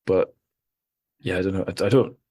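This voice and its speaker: Low-voiced man hosting a casual podcast chat. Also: steady tone, moderate pace, dark tone, no echo, studio quality. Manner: inquisitive, moderating the talk. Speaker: podcast host.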